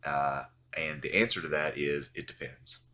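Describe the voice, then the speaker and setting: A man's voice: very deep voice, normal speed, sharp tone. A man with a stuffy voice from getting over the flu, explaining a game mechanic in a loose, rambling voice-over full of fillers. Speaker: game guide host.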